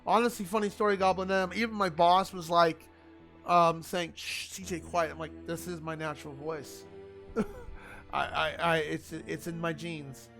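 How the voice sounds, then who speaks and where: A man streaming a video game, talking in a loud, rambling, chatty way to his viewers. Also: a little high, level tone, normal speed, soft background music. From Italian family. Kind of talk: casual gaming livestream chat.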